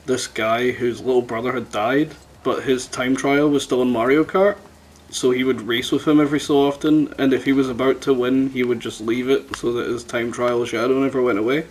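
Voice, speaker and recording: A man's voice: mid-range voice, fairly even intonation, normal speed, low-quality recording. A man chatting casually and bluntly as a podcast host, swearing often. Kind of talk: casual chat.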